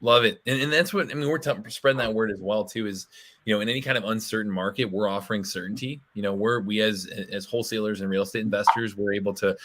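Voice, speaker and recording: Guy hosting a webinar, lowish voice, bit hoarse, lots of ums, pitchy promo talk. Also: fast talker, fairly bright tone. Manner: promotional, filler-heavy. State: slightly hoarse. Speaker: co-host.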